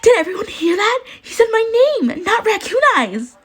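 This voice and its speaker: Woman acting out a high-pitched, emotional teenage girl. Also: big pitch swings, moderate pace. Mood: mock-shocked, teasing. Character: upset, high-strung, bubbly teenage girl hero student.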